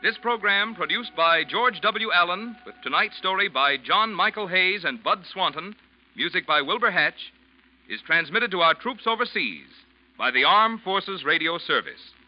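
A man's voice: high-pitched, lively intonation, moderate pace. A male radio announcer reading scripted sponsor copy in a polished, emphatic 1940s style. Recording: dull old radio recording. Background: music.

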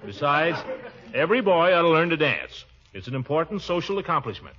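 An actor playing a pompous, fond middle-aged uncle who blusters and chuckles in an old radio comedy. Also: male voice, a little high, sing-song, a little fast, fairly bright tone. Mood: gently chiding. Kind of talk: 1940s radio comedy acting. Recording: muffled, noisy old radio recording.

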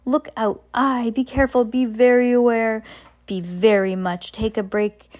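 A woman's voice: on the high side, steady tone, darkish tone, natural voice. A woman voicing a cheerful, scattered, enormous man in a storybook, who rattles off contradicting commands. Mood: bossy, scatterbrained. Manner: rapid self-contradicting commands.

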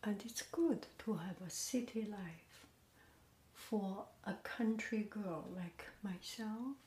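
Slow, half-whispering woman, roomy sound. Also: medium pitch. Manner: gentle, half-whispered, relaxed delivery. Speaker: podcast host. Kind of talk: soft, slow casual chat. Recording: roomy echo with faint noise.

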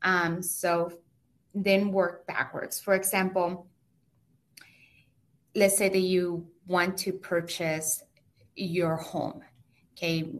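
A woman's voice: on the low side, a bit bright. A woman with a heavy Mexican Spanish accent, a native Spanish speaker, patiently explaining finances step by step as an interview guest.

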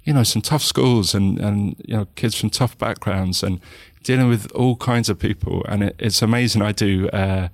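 Hesitant soft-spoken southern English man. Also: lowish voice, normal speed, creaky, few pauses, no room sound. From England. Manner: hesitant, many restarts and you-knows. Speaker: guest. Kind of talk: reflective interview talk.

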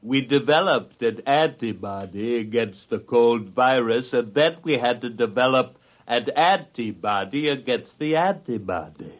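An actor playing a wry, weary male medical researcher in a 1950s radio drama, with dry, deliberate delivery. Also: medium pitch, lively intonation, muffled recording, close to an everyday voice. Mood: rueful. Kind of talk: 1950s radio drama acting, narration and dialogue. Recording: vintage radio broadcast, faint music.

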